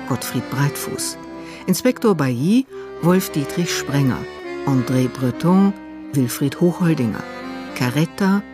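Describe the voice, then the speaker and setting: Formal announcer over prominent music. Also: medium pitch. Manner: formal, list-like reading. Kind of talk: scripted radio drama credits. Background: music under the voice.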